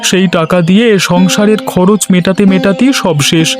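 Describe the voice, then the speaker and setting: A man's voice: sits high, fairly even intonation, moderate pace, close to an everyday voice. A man voicing a weary but self-assured village woodcutter in a cartoon, chatting and grumbling. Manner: conversational, grumbling. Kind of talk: cartoon story voice acting. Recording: music behind the voice.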